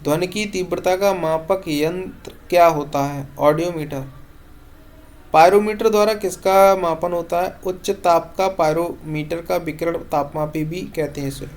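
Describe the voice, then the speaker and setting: An exam-prep teacher with a mid-pitched voice. The delivery is instructional, like notes read aloud, with each question posed and then answered briefly. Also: steady tone, a little slow, a little mellow.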